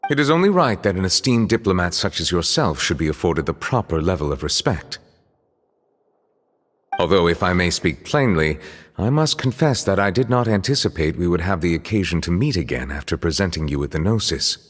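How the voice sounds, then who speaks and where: A deep, stately justice. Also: male voice, sing-song, in a large hall. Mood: courteous. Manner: stately, measured, courteous. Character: composed, formal male chief justice.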